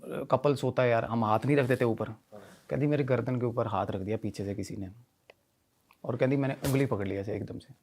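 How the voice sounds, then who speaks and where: A man telling a story in animated conversational style, often repeating himself and mixing in English phrases. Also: mid-range voice, gravelly. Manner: repetitive, mixes Hindi with English phrases. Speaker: podcast guest telling a ghost story.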